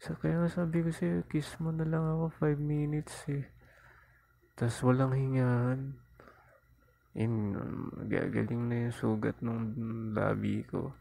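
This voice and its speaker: A man's voice: mid-range voice, little pitch movement, slow pace, creaky, long pauses, warm tone. A man playing a cocky, teasing young boyfriend in an intimate ASMR roleplay, with a Filipino accent and a playful, coaxing manner. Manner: playful, coaxing, chuckling, mock-whining. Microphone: lapel mic into mobile phone, close.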